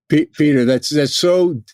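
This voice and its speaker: A man hosting a podcast interview, thinking aloud in a halting way with many fillers. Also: on the high side, darkish tone, no echo, clean studio sound. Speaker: podcast host. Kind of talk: conversational interview.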